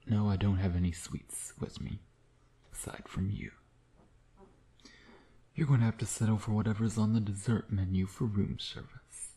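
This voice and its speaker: A man playing a smug, flirtatious vampire who dotes on his prey in an intimate ASMR roleplay, teasing and murmuring with breathy asides. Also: lowish voice, fairly even intonation, normal speed, pauses often, warm tone, close to an everyday voice. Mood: playful, dry.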